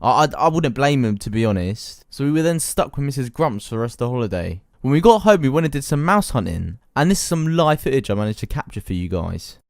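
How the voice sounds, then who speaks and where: A man's voice: mid-range voice, big pitch swings, fast talker, hardly any pauses, dry recording, studio quality. A young British man telling comic anecdotes casually, in a slangy, sweary, matey way. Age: young adult. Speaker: YouTube storyteller.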